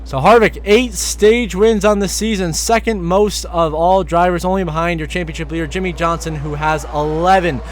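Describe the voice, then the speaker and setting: A hoarse young man doing play-by-play race commentary as a voiceover. His calls rise with excitement at crashes and passes. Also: high voice, normal speed, hardly any pauses, slight background noise. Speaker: sim racing commentator.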